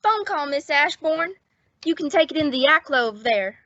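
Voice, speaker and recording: Eager naive bellboy, high, bright and swooping, gee-whiz vintage radio comedy. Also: female voice, expressive, normal speed, no room sound. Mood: eager, smitten. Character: naive, girl-struck, squeaky young bellboy. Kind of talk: 1950s radio comedy acting. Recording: dull old-time radio recording.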